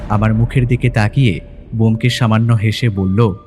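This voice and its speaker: A man's voice: lowish voice, fairly even intonation, normal speed. A man narrating a detective story in the first person, in a dramatic scripted audio-story style. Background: background music.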